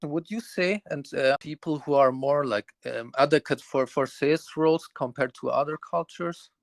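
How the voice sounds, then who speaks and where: A man hosting a casual interview podcast, asking loose questions packed with fillers, sometimes in quick bursts. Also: a little high, vocal fry, dry recording. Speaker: podcast host.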